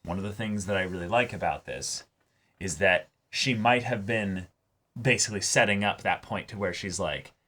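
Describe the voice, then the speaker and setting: A man's voice: low-pitched, moderate pace, a bit bright. A man co-hosting a casual anime discussion, rambling and often repeating his phrases. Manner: rambling, repeats phrases, acts out quoted dialogue. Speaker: co-host of anime reaction show.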